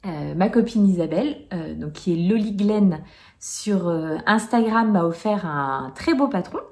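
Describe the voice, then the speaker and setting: A woman's voice: on the low side, animated, a little slow, a little mellow. Forties knitting lady chatting to cam at home, lots of umms, easygoing. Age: 40s. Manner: chatty, hesitant with frequent "euh" fillers and light laughs. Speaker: knitting vlogger. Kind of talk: casual chat to camera.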